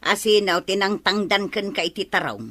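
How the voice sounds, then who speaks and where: A woman playing a nagging, suspicious village wife with an Ilocano accent in a radio comedy drama, scolding and sharp-tongued. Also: medium pitch, steady tone, normal speed, lightly acted. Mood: exasperated.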